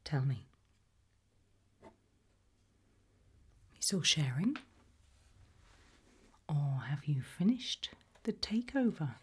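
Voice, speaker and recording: A British woman playing a composed, probing, ominous interrogator in a whispered, breathy ASMR roleplay, questioning deliberately. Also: low-pitched, big pitch swings, full character voice. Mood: eerie, probing. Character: composed, probing female interrogator of possessed people at a sinister institute.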